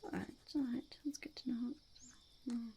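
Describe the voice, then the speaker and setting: A woman voicing a scheming, self-important goblin in a whispery ASMR roleplay, halting and mock-formal as the goblin interviews would-be henchmen. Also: a little high, fairly even intonation, dark tone, a little hiss or hum, lightly acted. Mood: probing, secretive. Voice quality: whispery, breathy, creaky.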